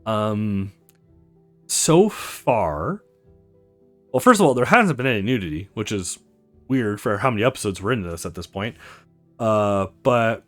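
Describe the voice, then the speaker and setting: A man's voice: lowish voice, very animated, unhurried, long pauses, a bit bright, faint music behind. A man hosting a let's play, reading a visual novel aloud expressively and adding casual asides.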